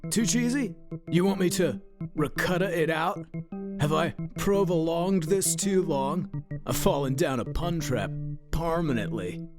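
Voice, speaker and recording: An actor playing a goofy, crude, pun-loving pizza cook in a comedic radio play, with a clownish, theatrical delivery. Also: male voice, a little high, expressive, a little slow, loud music behind the voice. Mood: jokey, eager. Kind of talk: comedic radio drama acting.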